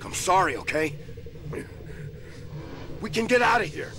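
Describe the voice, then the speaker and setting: An actor playing a weary, hardened criminal in a video game cutscene, terse and urgently persuading, with music behind him. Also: male voice, high-pitched, lively intonation, measured pace, gravelly, a bit bright, exaggerated voice. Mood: weary pleading. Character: weary, hardened male criminal, grimly insistent father.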